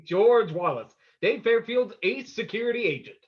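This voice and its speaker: A suave radio-play sleuth acted by a man on a dull, muffled recording. His voice is high for a man and husky, and he is confident and in charge. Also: lively intonation, normal speed. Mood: teasing, assured. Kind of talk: old-time radio drama acting.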